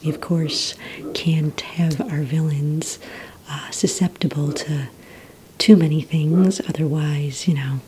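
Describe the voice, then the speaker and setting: A woman whispering breathily in a soft-spoken ASMR roleplay as a polite, encouraging receptionist, asking questions in a gentle, hesitant, unhurried way. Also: sits low, steady tone, background noise. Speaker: ASMR roleplay performer as a villain recruitment receptionist.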